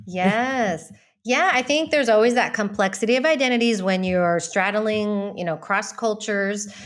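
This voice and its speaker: A woman in her thirties from Texas, speaking as a guest in a relaxed interview. Her voice sits at an ordinary middle pitch. She talks in a candid, reflective way and laughs easily. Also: a little slow. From Texas, United States. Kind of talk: casual interview chat.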